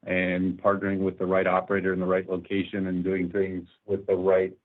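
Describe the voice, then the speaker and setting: A man's voice: low voice, monotone, fairly quick. A male company executive explaining business matters conversationally on a conference call. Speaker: company executive answering analysts. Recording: narrowband conference phone line.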